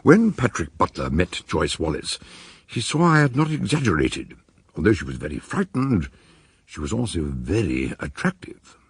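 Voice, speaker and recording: A man playing an eccentric, scholarly detective in a radio drama, speaking in a wry, deliberate way, with noise behind him. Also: low-pitched, sing-song. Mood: measured, narrating. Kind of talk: radio drama acting and narration.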